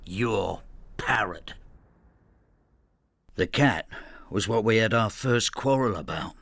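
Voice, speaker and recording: An actor playing a vengeful, ageing husband in a radio drama, brooding and spiteful, with a gravelly voice. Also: male voice, lowish voice, expressive, slow, fairly bright tone, no echo, full character voice. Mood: wry, teasing. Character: bitter, vengeful ageing husband devoted to his cat. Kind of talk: first-person radio drama narration and dialogue.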